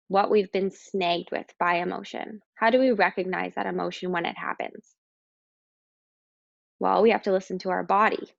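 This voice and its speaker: Woman guiding a calm meditation, muffled recording. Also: mid-range voice, no echo. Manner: calm, slow, instructing with pauses. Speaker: meditation guide. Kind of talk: guided meditation.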